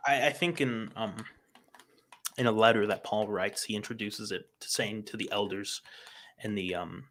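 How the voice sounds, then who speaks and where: A man's voice: fairly low pitch, steady tone, normal speed, a bit bright. A young man talking casually in a discussion, hesitant, with many fillers and restarts. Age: young adult. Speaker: podcast guest.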